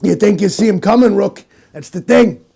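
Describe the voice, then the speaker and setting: A man voicing a gruff, salty, seasoned detective with a gritty voice and a streetwise, badgering swagger, in a comedy parody. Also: high voice, expressive, normal speed, mellow, voice pushed up, full character voice. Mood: urgent warning. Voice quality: gritty, creaky.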